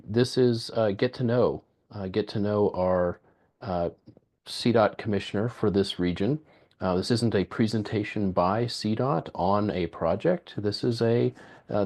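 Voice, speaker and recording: A man hosting a committee meeting, introducing the next speaker in a halting way with many fillers. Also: fairly low pitch, a little mellow.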